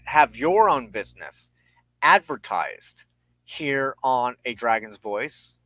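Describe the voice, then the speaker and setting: A man hosting a spiritual show, speaking reflectively and earnestly in measured, halting short phrases with deliberate pauses. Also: mid-range voice, sing-song, pushed and bright, no echo, poor audio. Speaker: host and minister of a spiritual show. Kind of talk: reflective spiritual talk to an online audience.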